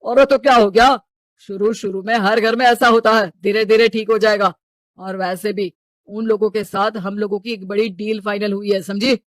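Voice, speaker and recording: A man's voice: high voice, steady tone, moderate pace, a bit bright, no room sound. A man playing a stern, money-minded middle-aged father in a story, domineering and scolding. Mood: calculating.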